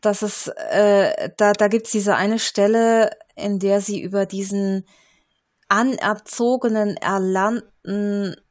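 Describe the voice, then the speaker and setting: A woman's voice: mid-range voice, steady tone, slow, darkish tone. A woman in a casual panel discussion, speaking earnestly with some fillers.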